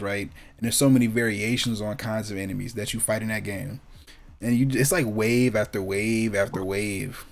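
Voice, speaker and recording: A man hosting a gaming talk show, chatting casually in an emphatic, opinionated, slangy way with plenty of swearing. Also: on the low side. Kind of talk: casual chat about video game movies and anime.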